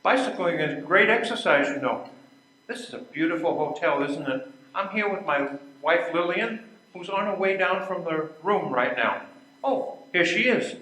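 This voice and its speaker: A man's voice: high voice, animated, normal speed, bright, forceful tone, a little hiss or hum. A man playing a genial, chatty bicycle company executive in his mid-fifties in a readers theater play. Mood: sociable, chatty. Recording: echoey room recording.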